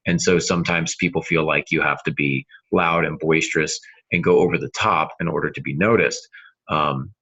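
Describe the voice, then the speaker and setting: A middle-aged man co-hosting a casual podcast discussion on writing, speaking in an earnest, emphatic way with dramatic pauses. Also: extremely low pitch, fairly even intonation, sharp tone, slightly rough, dull audio. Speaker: podcast co-host and novelist.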